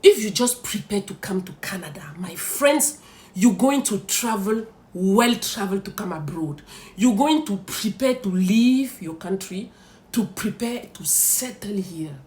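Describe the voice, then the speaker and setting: Lively, emphatic woman giving advice. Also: medium pitch, unhurried. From Cameroon. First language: French. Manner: emphatic, rhetorical questions to viewers. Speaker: immigration advice vlogger. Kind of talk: direct-to-camera advice talk.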